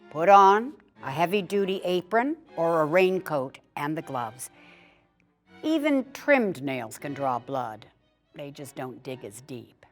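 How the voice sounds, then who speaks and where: A woman actor giving an expressive dramatic reading of a humorous instructional essay, like a storyteller. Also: lowish voice. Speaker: actor reading a written piece aloud.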